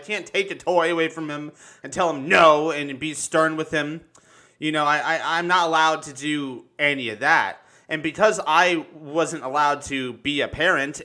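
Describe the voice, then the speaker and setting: A man chatting casually and telling personal stories, halting and repeating words, swearing freely. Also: a little high, sharp tone. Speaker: livestream talk show host. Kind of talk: casual chat, personal storytelling.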